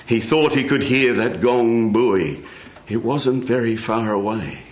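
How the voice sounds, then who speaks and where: A man telling a folk tale live in a measured way, with dramatic pauses. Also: medium pitch, roomy sound. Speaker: storyteller. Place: radio studio with live audience.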